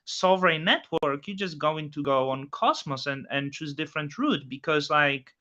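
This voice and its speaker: A man with a Polish accent hosting a casual chat, speaking haltingly with frequent restarts. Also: fairly high pitch, lively intonation, pushed and bright. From Poland. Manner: halting, many restarts, non-native phrasing. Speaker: host. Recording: dull lo-fi audio.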